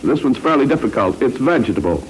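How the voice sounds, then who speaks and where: Animated American radio quiz host, man. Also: mid-range voice, fast. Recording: noisy vintage radio recording.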